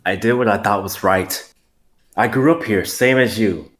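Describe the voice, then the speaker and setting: A man reading the part of a calm, guilt-ridden male consultant in prison, restrained and defensive. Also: fairly low pitch, moderate pace, close to an everyday voice.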